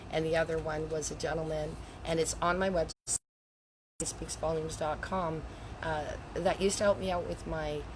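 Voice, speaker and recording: A woman in her fifties talks in a low, raspy voice, worn down because she is losing it. She rambles in a chatty way through a live video outdoors by a lake. Outdoor ambience and the hum of passing vehicles sit clearly behind her. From Canada. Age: 50s. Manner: chatty and rambling, laughs between points. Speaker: handwriting analyst. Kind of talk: casual live video chat.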